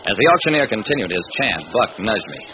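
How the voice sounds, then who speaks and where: A man's voice: fairly low pitch, big pitch swings, quick pace, a crowd in the background. A man playing a wisecracking, stubborn private detective, narrating his own case in an old-time radio drama. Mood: wry narrating. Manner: wry, punchy storytelling. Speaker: narrator and lead character. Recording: noisy, dull old radio recording.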